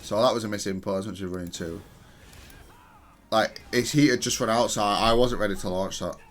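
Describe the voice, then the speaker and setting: Low-voiced man giving analytical gameplay commentary. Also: steady tone, fairly quick, faint music behind. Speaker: gamer reviewing own match.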